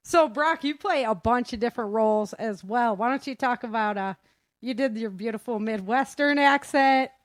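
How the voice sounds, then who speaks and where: A woman's voice: on the high side, normal speed, dry recording. A woman hosting a casual interview, talking in an informal, encouraging way. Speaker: host interviewing her audio drama cast.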